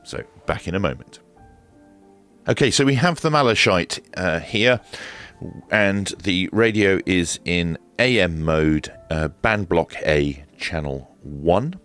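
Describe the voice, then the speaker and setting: A man with an extremely low, deep voice presents a hands-on gear demonstration. He explains things in a measured, matter-of-fact way, with faint music in the mix. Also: animated, fairly bright tone. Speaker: presenter of a radio equipment review. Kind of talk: hands-on demonstration and review commentary.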